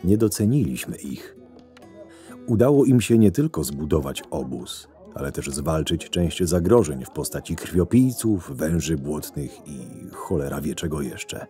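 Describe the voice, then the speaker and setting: A man playing a shrewd, sardonic right-hand man to a camp boss, narrating a fantasy audio drama in a measured way. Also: low-pitched, mellow. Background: music.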